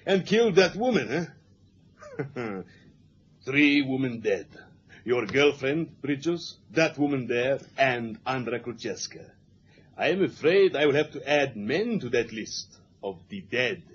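An actor playing a cold, courteous foreign assassin with stilted phrasing and quiet menace in an old radio drama. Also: male voice, medium pitch, animated, slow. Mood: gloating, threatening. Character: cold, polite male foreign assassin with a gun. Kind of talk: 1970s radio drama acting. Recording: muffled, noisy old radio drama recording.

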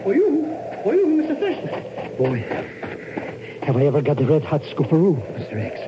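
A man playing an excitable, slangy comic sidekick in a 1950s radio drama. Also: a little high, moderate pace. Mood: excited, eager. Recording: noisy, muffled old radio broadcast.

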